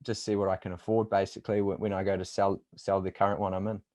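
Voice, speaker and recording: A man with a New Zealand accent and a lowish voice talks casually. He comes across as an informal, matey interviewer and coach in an everyday conversation. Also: level tone, quick pace, a little mellow.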